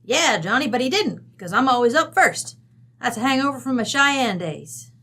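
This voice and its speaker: A woman's voice: on the high side, animated, normal speed, bright, forceful tone, full character voice. A woman playing a bossy, blunt, folksy mother from Wyoming in an old-time radio drama. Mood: matter-of-fact. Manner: brassy, folksy, chatty.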